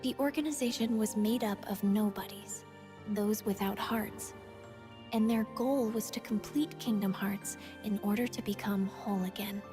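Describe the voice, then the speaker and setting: A woman reading scripted narration for a video game story recap in a measured, solemn storytelling manner. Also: mid-range voice, music in the background.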